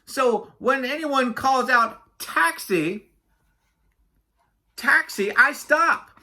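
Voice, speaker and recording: Storybook yak cabbie, put on by a reader: raised pitch, indignant, oblivious motormouth full of self-importance. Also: animated, extremely slow, sharp tone, heavily acted. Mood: boastful, rambling. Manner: rambling, indignant, never lets others speak. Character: chatty, self-important, oblivious male yak taxi driver.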